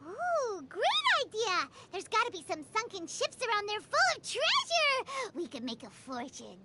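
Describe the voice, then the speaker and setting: Very squeaky, excitable little fairy. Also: female voice, moderate pace, very noisy. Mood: excited, greedy. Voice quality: squeaky, childlike. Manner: exclamatory, speaks of self in third person. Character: tiny, childlike, excitable, money-loving floating fairy companion. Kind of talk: video game voice acting.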